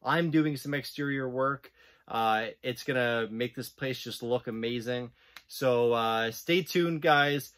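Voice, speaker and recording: A man's voice: mid-range voice, slow, a bit bright, no room sound, clean studio sound. A young man giving a casual walkthrough of a basement renovation he is working on, explaining as he goes and calling his viewers "guys" again and again. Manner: casual, explanatory, says "guys" and "right?" often. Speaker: real estate investor vlogger. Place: basement under renovation, indoors. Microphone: phone camera held at arm's length.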